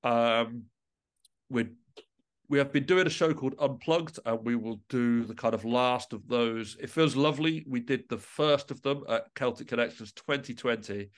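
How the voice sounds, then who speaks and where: A man chats casually as an interview guest, in a voice of ordinary male pitch. He comes across as enthusiastic about his work, yet informal and self-deprecating in how he puts it. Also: normal speed. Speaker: guest, musician and composer. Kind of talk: casual podcast interview chat.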